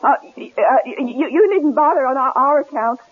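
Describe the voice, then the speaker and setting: An actress with an American accent playing a headstrong, independent wife in a 1970s radio drama, expressive and quick to stammer when rattled. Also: female voice, high-pitched. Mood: nervous, defensive. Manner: bold, stammers when frightened. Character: headstrong, independent wife, skier, snowed in with husband. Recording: tinny, lightly noisy old radio broadcast.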